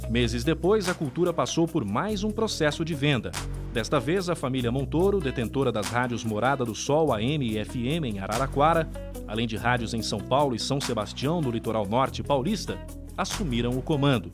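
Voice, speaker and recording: A Brazilian man delivers scripted documentary narration. His mid-pitched voice moves through a lively range of intonation, and music plays clearly behind him. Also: fairly quick.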